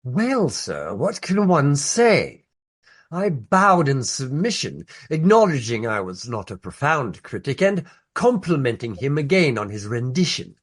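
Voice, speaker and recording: Male, deferential Regency gent in a radio play. Florid, formal, animated intonation, husky edge. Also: medium pitch, a little slow. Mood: genteel, deferential. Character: deferential, genteel Regency gentleman recounting a meeting.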